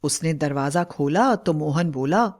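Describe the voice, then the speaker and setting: A woman's voice: low voice, animated, measured pace, a little mellow. A woman reading a scripted story as its narrator.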